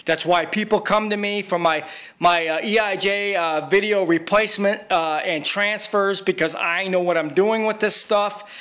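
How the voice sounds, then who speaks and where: A man's voice: high-pitched, fairly even intonation, normal speed, pushed and bright, clear, resonant tone. A man narrating an informal repair tutorial as a technician, speaking in an emphatic, boastful way.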